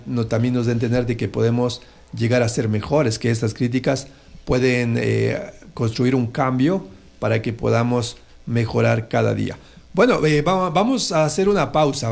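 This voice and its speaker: A male radio host with a gravelly, fry-laden voice. He pitches in the middle of the male range and talks haltingly, full of fillers and restarts, as he tells a short moral tale on air. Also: a little mellow. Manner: hesitant, many fillers and restarts. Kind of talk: casual on-air talk with a moral story.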